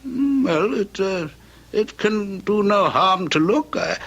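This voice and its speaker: A haggling old moneylender for old-time radio. The voice is pitched high and swoops about, with a husky edge. He speaks in fussy, hesitant, drawn-out phrases. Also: animated, fairly bright tone, dull audio, heavily acted. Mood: wary, fussy.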